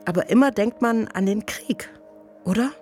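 Woman playing weary confessional actress, medium pitch, reflective radio drama monologue, soft music behind. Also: moderate pace, natural voice. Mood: brooding, intense.

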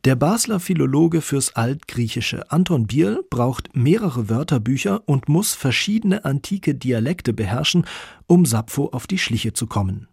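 Gravelly, husky male radio narrator. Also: mid-range voice, a little mellow, no room sound, studio quality. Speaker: presenter of a radio feature. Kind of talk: scripted narration.

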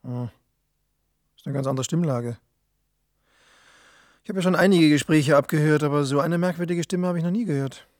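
A man's voice: a little high, moderate pace, long pauses, no room sound, studio quality, close to an everyday voice. A man playing a sober, sceptical hacker with a questioning, matter-of-fact manner in a German radio drama. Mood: sceptical, curious.

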